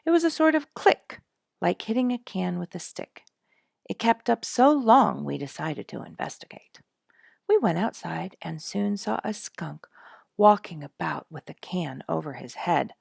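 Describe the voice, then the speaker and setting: A woman's voice: fairly low pitch, expressive, normal speed, gravelly, slightly rough, no room sound, studio quality, pitched above the performer's own voice, heavily acted. A woman voicing a rueful, dramatic father in a storybook, weary and emphatic as he recounts his troubles. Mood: earnest recounting.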